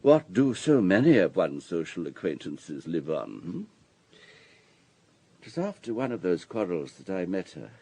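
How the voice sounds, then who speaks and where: Husky, urbane wealthy older gentleman. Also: sits low, big pitch swings, moderate pace, exaggerated voice. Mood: reflective, worldly. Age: 60s. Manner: urbane, discursive, worldly. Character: wealthy older businessman, urbane, paternal ex-husband. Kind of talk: radio drama dialogue.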